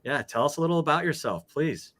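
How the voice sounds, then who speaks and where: A man's voice: fairly high pitch, lively intonation, fairly quick, a bit bright. A man hosting a casual livestream over a video call, friendly and rambling as he greets guests and reads out names. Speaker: host.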